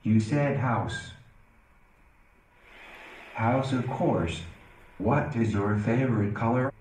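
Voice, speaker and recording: A man voicing slow, echoey stage AI being. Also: mid-range voice, a little hiss or hum, lightly acted. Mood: welcoming. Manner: slow, calm, guiding instructions. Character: gentle male AI being living on a stage. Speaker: interactive stage character. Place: theater stage. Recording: echoey stage sound, far from mic, loud music.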